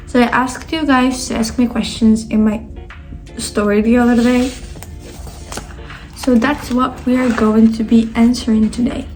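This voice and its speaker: A woman's voice: on the high side, little pitch movement, unhurried, darkish tone, faint music behind, rough recording. A nineteen-year-old woman from Spain chatting casually in a sit-down question-and-answer vlog, rambling with lots of fillers and self-corrections. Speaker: vlogger. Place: indoors at her sister's home.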